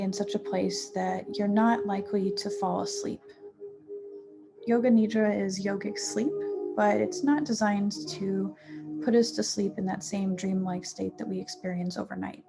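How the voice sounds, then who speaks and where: A woman teaching yoga nidra, guiding a meditation in a soothing, unhurried manner with pauses between short phrases. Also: mid-range voice, gravelly. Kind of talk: guided meditation. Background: music.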